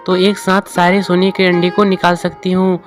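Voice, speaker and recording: Casting: greedy, scheming farmer's wife played by a man, shrill and high-pitched, cartoon storybook style, music underneath. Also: monotone, moderate pace, natural voice. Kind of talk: cartoon moral-story voice acting.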